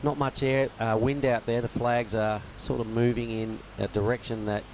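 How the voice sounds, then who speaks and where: A man's voice: mid-range voice, little pitch movement, moderate pace, darkish tone, a little hiss or hum. A man leading live surf commentary, upbeat and chatty, calling the heat and steering the conversation. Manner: upbeat, leads the talk, says mate. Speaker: lead commentator.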